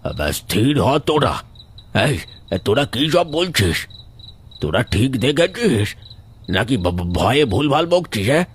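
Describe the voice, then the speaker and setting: A man's voice: mid-range voice, sing-song, unhurried, pauses often, a little hiss or hum. A man playing a stern older village elder in a cartoon horror story, questioning people with authority. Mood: alarmed, questioning. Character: stern village elder man presiding over a worried meeting.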